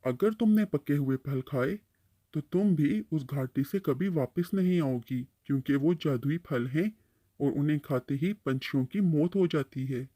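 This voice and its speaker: A kindly uncle tree from a children's cartoon, acted by a man. He has a soft, mellow voice, a little high for a male, and advises patiently, like an elder explaining things to a youngster. The sound is close and dry. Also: unhurried, dry recording. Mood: explaining, cautioning. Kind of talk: Hindi-Urdu cartoon storytelling dialogue.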